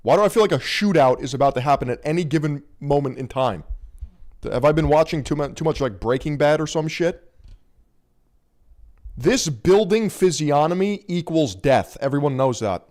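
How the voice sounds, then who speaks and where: A man's voice: a little high, expressive, normal speed, darkish tone. A man streaming live and reacting to a video with crude, wry commentary and frequent laughter.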